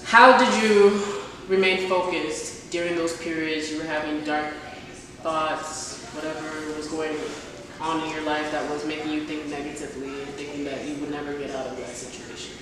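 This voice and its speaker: A woman interviewing a guest in a casual conversation, encouraging and quick with affirmations. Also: sits low, flat delivery, a crowd in the background. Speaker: interviewer, host. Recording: echoey room, distant mic.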